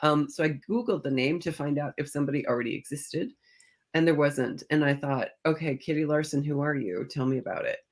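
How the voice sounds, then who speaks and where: A woman's voice: deep voice, fairly quick, darkish tone. A middle-aged woman speaking as an interview guest, an author telling stories conversationally and acting out bits of reported dialogue. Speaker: guest, historical fiction author.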